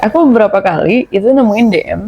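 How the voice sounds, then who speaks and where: A young woman in a casual podcast chat as a guest who teaches her language, speaking thoughtfully and pausing to pick her words. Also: mid-range voice, lively intonation, husky, lo-fi sound. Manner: thoughtful, measured, with frequent pauses and restarts.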